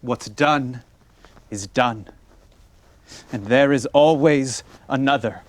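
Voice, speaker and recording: A man acting on stage as a grave, commanding figure, speaking in a solemn and measured way. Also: mid-range voice, slight background noise, lightly acted. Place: theatre stage, indoors.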